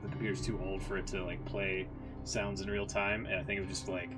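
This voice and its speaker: A man's voice: sits low, level tone, a little fast, music in the background. A man casually commentating on a game livestream, talking informally and swearing now and then.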